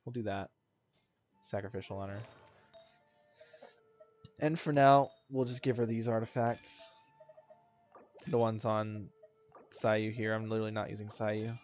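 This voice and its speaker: A man with a fairly low voice commentates casually as he plays on stream. He thinks out loud in an informal, rambling way and swears freely. Soft game music plays behind him. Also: fairly even intonation, measured pace, long pauses. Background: video game music.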